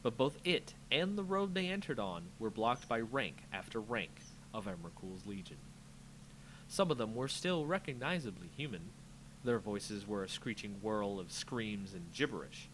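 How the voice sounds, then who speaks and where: Animated man narrating a dramatic fantasy story. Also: lowish voice, moderate pace, a little hiss or hum, poor audio. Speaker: host reading a story aloud.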